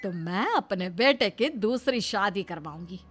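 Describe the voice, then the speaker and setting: A woman's voice: mid-range voice, lively intonation, a little slow, soft background music, voice pushed down, lightly acted. A woman voicing a domineering, insistent older mother-in-law who scolds melodramatically in a moral story cartoon. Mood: adamant.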